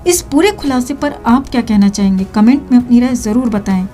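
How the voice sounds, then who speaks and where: A woman's voice: fairly high pitch, brisk, mellow, faint music behind. A woman with an Indian accent reading scripted news to viewers in an emphatic, sensational manner. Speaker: video news narrator.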